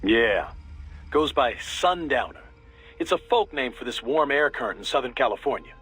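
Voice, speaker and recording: An actor playing a friendly male mission-support briefer in a video game, husky, American, talking in a casual, musing way. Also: mid-range voice, expressive, moderate pace, fairly bright tone. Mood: matter-of-fact. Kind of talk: video game radio dialogue.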